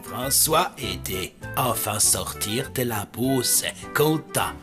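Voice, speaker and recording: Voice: medium pitch, expressive, slow pace, music in the background. An actor voicing a dim, eager, childlike cartoon monster who speaks in broken third person. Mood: excited, proud. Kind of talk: cartoon voice acting.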